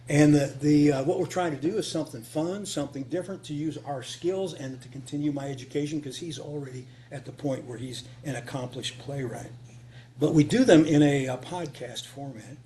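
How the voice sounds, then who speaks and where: A man's voice: fairly high pitch, brisk, mellow. A man giving an informal, off-the-cuff conference talk in a folksy way, repeating phrases for emphasis. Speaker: conference speaker, writer of radio dramas. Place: meeting room, live before a small group. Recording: live talk with clear hum and noise.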